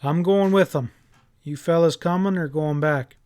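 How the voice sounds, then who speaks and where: A man's voice: fairly high pitch, moderate pace, close to an everyday voice. A man voicing a weary, practical country father in an audiobook, calling out as if over a storm. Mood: firm. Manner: raised, shouting over wind.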